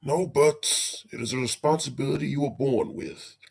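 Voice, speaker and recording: A man's voice: mid-range voice, moderate pace, vocal fry, darkish tone, breathy edge. A man playing a folksy older animatronic beaver, a cheerily macabre father putting on a hammy stage show in an audio drama. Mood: jolly, brisk.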